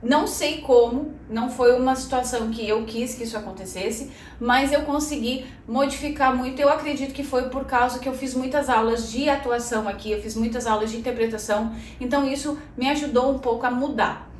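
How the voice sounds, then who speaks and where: A Brazilian woman in her thirties talks casually to her viewers, chatty and personal, often tagging her sentences with little questions. Her voice sits a bit high for a woman. It carries the echo of a roomy space, with her a little away from the mic and faint noise underneath. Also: steady tone, brisk, hardly any pauses, distant mic. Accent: Brazilian Portuguese. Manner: chatty, addresses viewers as "gente", frequent "né". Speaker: channel host.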